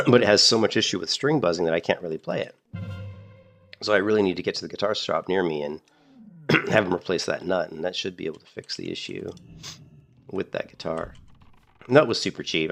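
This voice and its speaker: A man in a casual, rambling chat about guitars, losing his voice a little and clearing his throat often. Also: low-pitched. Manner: rambling, repeats himself, frequent throat clearing. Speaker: streamer.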